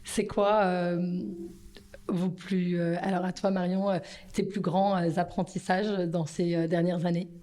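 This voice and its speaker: A woman's voice: fairly low pitch, level tone, measured pace, warm tone. A woman hosting a podcast, interviewing guests on a panel and asking hesitant questions full of fillers. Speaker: podcast host.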